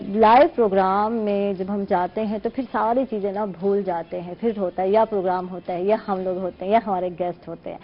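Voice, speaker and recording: A woman with a Pakistani Urdu accent hosting a live morning TV show, chatty and informal. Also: mid-range voice. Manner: chatty, informal, quick asides. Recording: dull lo-fi broadcast sound with faint noise.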